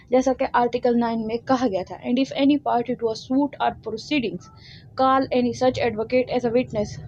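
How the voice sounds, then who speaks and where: A woman with a Pakistani accent delivers an instructional lecture at a slow, deliberate pace. Her voice sits fairly high yet has a soft, mellow tone. The recording is dull and lo-fi. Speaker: law lecturer. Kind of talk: instructional lecture alternating explanation and read-out text. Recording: dull lo-fi sound.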